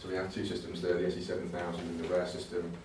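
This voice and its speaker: A man presents technical material informally, in a low voice that stays quite flat. He is hesitant and conversational, doubling back on words as he explains. The recording is heavily echoey, as if from a venue. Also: very fast. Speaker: presenter at a computing conservation talk. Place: indoor talk venue. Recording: very echoey room, distant mic, faint noise.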